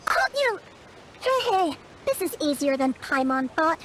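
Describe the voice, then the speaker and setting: A woman voicing a tiny, excitable floating fairy girl companion in a video game, with a squeaky childlike voice and exclamatory delivery. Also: measured pace, noisy background. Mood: excited. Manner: exclamatory, refers to self in third person. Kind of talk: animated video game dialogue.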